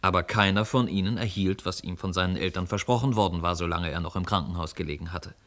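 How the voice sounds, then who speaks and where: Tiefer männlicher Hörspiel-Erzähler. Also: male voice, sits low, fast talker, a bit bright. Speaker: narrator. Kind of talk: scripted storytelling narration for radio drama.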